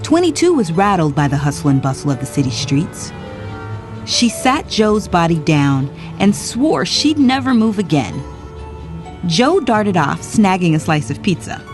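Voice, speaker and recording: A woman reading scripted narration for a children's storybook in an expressive storytelling manner. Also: fairly low pitch, unhurried, music in the background. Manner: expressive read-aloud storytelling.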